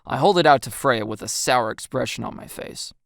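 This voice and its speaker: A woman reading a first-person scripted story as an expressive narrator, in a close voice-over. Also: very deep voice, a little fast, creaky, no room sound.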